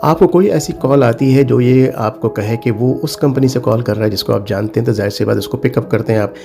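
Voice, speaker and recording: A man's voice: medium pitch, brisk, creaky, warm tone, soft background music. A middle-aged man with a Pakistani accent gives informal advice to viewers, emphatic and repeating his warnings, mixing in English phrases. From South Asia (Pakistan). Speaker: cyber security consultant and advice video host. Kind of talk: informal advisory talk to viewers.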